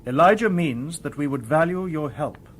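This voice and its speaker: An actor playing a polite, logical humanlike robot in a radio drama, speaking formally and precisely. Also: male voice, on the high side, lively intonation, normal speed, slight background noise, close to an everyday voice. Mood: calm, reasoning.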